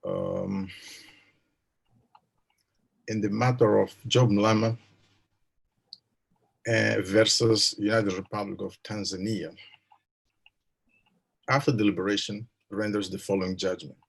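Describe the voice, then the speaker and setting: A man judge with a gravelly, husky voice, formally and haltingly reading out a court judgment. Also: fairly low pitch, steady tone.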